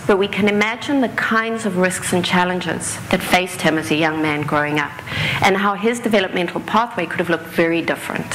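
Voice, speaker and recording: A woman from Africa, giving an earnest live talk on stage, sometimes pausing with fillers and correcting herself. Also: on the low side, background noise. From South Africa. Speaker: speaker. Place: stage in an auditorium.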